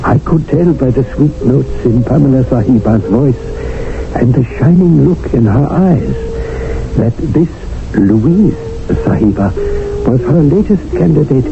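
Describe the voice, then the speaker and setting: A man's voice: mid-range voice, vocal fry, noisy background. A man playing a proud, deferential Indian servant who narrates, speaking in formal, flowery phrases in a 1970s radio drama. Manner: formal, flowery, ceremonious speech. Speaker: narrator of the story. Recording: tinny old radio broadcast with music behind.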